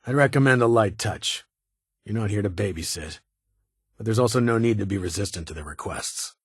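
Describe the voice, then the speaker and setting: An actor playing a stern, weary security chief in a video game, with a husky voice and a formal, clipped, authoritative manner. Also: male voice, fairly low pitch, animated, fairly quick, no room sound, studio quality. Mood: formal, businesslike. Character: stern, weary male vault security chief, guarded but honorable.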